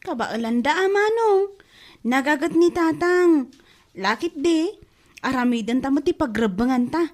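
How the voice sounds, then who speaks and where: A woman's voice: high voice, animated, measured pace, pauses often. A woman with an Ilocano accent acting a dutiful, earnest teenage younger sister in an audio drama.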